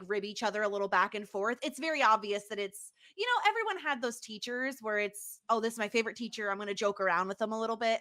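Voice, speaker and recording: Animated, bright, higher-pitched woman. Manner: chatty, animated, acts out quotes. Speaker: podcast host. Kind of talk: casual TV recap chat.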